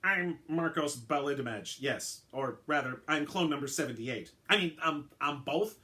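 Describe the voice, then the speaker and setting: A man's voice: on the high side, lively intonation, normal speed, bright, forceful tone. A man voicing a flustered, eager male clone of a supervillain who is unsure of who he is. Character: flustered male villain clone, confused identity.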